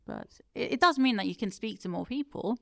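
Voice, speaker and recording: A woman's voice: on the high side, animated, brisk, creaky, a bit bright, dry recording, studio quality. A woman in her thirties, born in Turkey and raised in the UK, speaking thoughtfully as an interview guest. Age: 30s. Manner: thoughtful, with frequent self-corrections. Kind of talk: reflective interview conversation.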